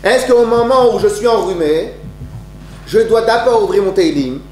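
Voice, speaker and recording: A man's voice: sits high, moderate pace, a little mellow. A man giving a religious talk to an audience, telling stories with many restarts and rhetorical questions. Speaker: lecturer. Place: lecture hall. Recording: echoey room with faint hum.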